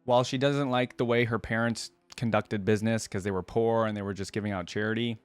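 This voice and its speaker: A man's voice: on the low side, steady tone, fairly quick, smooth, no echo, soft background music. A man hosting a reaction video, commenting casually and often checking for agreement as he goes.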